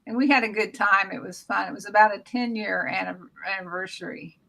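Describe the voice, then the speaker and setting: A woman's voice: medium pitch, a little fast, gravelly, bright, forceful tone, poor audio. An American woman in her seventies from Oklahoma, casually reminiscing as a guest author on a video call. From Oklahoma, United States. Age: 70s. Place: small room at home, indoors. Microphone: computer mic on video call.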